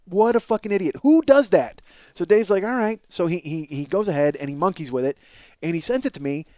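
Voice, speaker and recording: Male comedy podcaster, middle-aged. Higher-than-average pitch, dry close sound. Excitable, self-mocking, profane, trips over repeats while spinning long stories. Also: brisk, no echo. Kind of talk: rambling comedic monologue storytelling.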